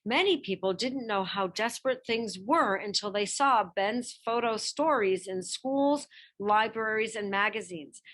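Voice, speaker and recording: A woman reading a picture-book biography aloud as scripted narration, with expressive delivery and deliberate emphasis. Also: fairly high pitch.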